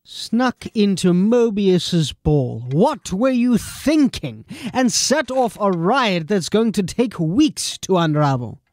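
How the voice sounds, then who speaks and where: High-pitched male captain, stern, exasperated, sardonic, measured pace, dry close sound, fantasy audio drama. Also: very animated, a little mellow, dry recording, full character voice. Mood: exasperated scolding. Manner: clipped, sardonic, authoritative.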